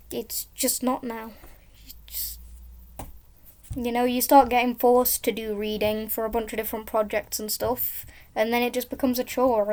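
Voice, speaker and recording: A woman with a high voice, rambling casually and drifting between topics while commenting on a game she is playing. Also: a little mellow. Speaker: gaming YouTuber.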